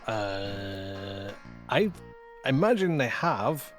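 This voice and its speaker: A man's voice: on the low side, big pitch swings, very slow. Man chatting over background music. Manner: self-deprecating, dry humour. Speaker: guest voice actor. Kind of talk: casual interview chat. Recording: clear music under the voice.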